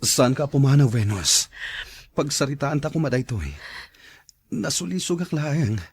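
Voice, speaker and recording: A man's voice: mid-range voice, animated, measured pace, husky. A man playing an unfaithful, contrite husband in an Ilocano audio drama. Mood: pleading, contrite.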